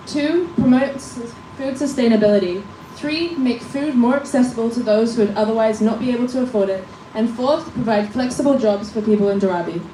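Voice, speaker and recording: A young woman in her late teens gives a rehearsed presentation, setting her points out clearly in numbered order. Her voice is fairly high and mellow, and she sounds distant in an echoing room. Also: slight background noise. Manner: clear, numbered, read-through delivery. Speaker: student presenter.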